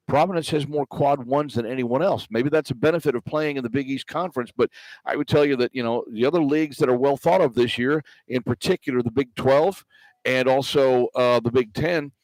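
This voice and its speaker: A male radio sports broadcaster delivers a conversational post-game wrap-up. His voice sits at a medium pitch, and the sound is very dry, with little sense of the space around him. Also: dry recording, rough recording.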